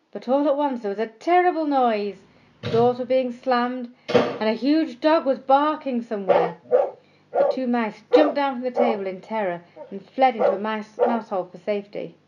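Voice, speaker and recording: A woman narrating scripted bedtime stories for children in a measured, expressive storytelling manner. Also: medium pitch, highs cut off.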